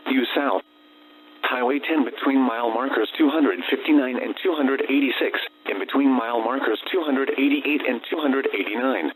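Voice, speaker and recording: A synthetic man's voice reads automated weather warnings as a radio announcer, with flat, formal, segmented delivery. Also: medium pitch, moderate pace. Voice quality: synthetic text-to-speech voice. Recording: noisy, humming weather radio broadcast.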